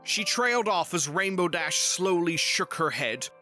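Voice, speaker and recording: A woman's voice: fairly low pitch, animated, a little slow, pushed and bright, faint music behind. A woman reading scripted storybook narration.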